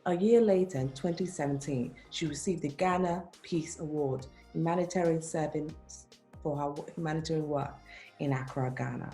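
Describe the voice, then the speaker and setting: A woman's voice: deep voice, normal speed, warm tone. A woman hosting a conversation show, giving scripted introductions of her guest and asking interview questions. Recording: roomy, echoing recording with music.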